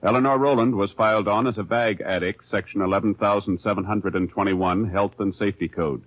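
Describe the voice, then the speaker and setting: A man announcing in a formal, measured, official 1950s radio style from a script. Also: on the low side, fairly even intonation, slight background noise. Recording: muffled 1950s radio broadcast, faint music.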